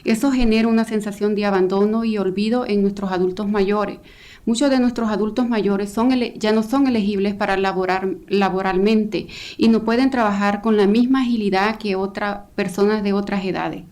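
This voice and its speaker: Woman, volunteer coordinator, fast earnest testimony with hesitant fillers. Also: medium pitch, fairly even intonation, darkish tone. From El Salvador. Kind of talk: public testimony. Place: county council chamber.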